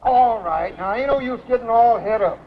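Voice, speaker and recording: An actor playing an easygoing, grumbling backwoods trapper with a gravelly Southern American drawl in a 1950s film. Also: male voice, extremely high pitch, moderate pace, full character voice. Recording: distant, muffled film sound, loud music.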